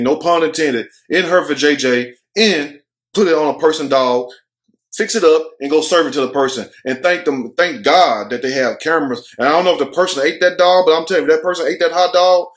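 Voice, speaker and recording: Higher-pitched American man, blunt casual commentary. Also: a bit bright. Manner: blunt, slangy, profane, joking. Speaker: online commentary show host. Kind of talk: casual opinionated commentary to camera. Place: furnished room at home.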